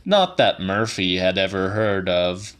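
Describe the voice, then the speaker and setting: A man narrating a literary audiobook in a dramatic, theatrical, deliberate manner. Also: low-pitched, a bit bright, clean tone.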